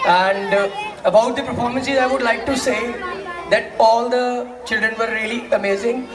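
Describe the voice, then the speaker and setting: High-voiced judge hyping up kids, echoey, crowd behind. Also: male voice, normal speed. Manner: energetic, loud, calls on the crowd, mixes in Hindi. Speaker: competition judge. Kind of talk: speech to schoolchildren. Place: outdoor school assembly. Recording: echoey, distant mic, crowd noise.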